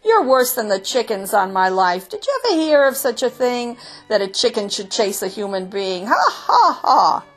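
A woman's voice: a little high, sing-song, moderate pace. A woman voicing scornful village husbands in a storybook, derisive and exclaiming as they mock their wives. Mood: mocking, amused. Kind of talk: storybook character voice.

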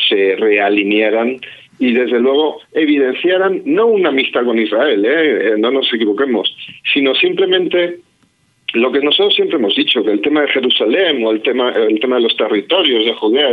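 A man from Spain speaking as a guest in a radio interview by phone, with many fillers and restarts. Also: lowish voice. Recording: tinny phone line.

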